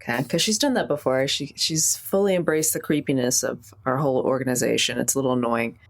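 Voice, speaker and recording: A woman with a low voice plays a tabletop roleplaying game. She describes her character's choices casually and informally, with a wry edge to her delivery. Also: fairly quick, creaky.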